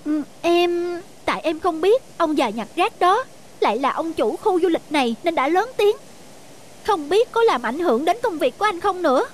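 An actress playing a proud young wife in a cartoon, speaking in an expressive, emphatic way. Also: female voice, very high-pitched, normal speed. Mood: sheepish, apologetic. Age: young adult. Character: haughty young wife, quick to scold, later sheepish. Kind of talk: cartoon voice acting. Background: faint music.

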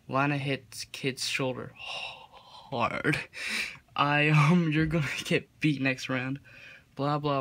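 A man's voice: mid-range voice, sharp tone. A man reading fan fiction aloud as a comedy host, amused and prone to stumbles and ad-libbed asides. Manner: breaks into giggles, stumbles over the text.

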